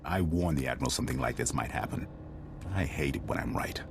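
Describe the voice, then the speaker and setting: An actor playing a wry, world-weary military commander in a video game, with a blunt manner. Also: male voice, a bass voice, fast, gravelly, background noise. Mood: wry, irritated. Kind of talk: video game cutscene acting.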